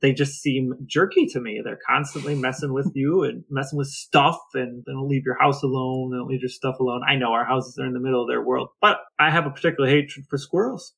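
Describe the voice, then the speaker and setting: A man's voice: medium pitch, steady tone, brisk, creaky, few pauses, a bit bright, slightly rough, poor audio. A middle-aged man co-hosting a podcast, telling stories casually and comically in a grumbling, exasperated way. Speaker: podcast co-host. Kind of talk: casual comedic storytelling.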